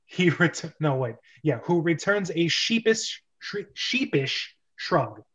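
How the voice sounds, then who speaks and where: A man hosting a casual, joking amateur script reading, halting and often correcting himself. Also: fairly high pitch, very animated, fairly bright tone. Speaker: host and script reader.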